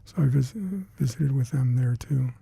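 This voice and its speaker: An older man with Hungarian as his first language, recalling past work in an oral history interview, halting and reflective with many fillers and restarts. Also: medium pitch, little pitch movement, gravelly, dark tone, slightly rough, no room sound. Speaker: interviewee, retired scientist.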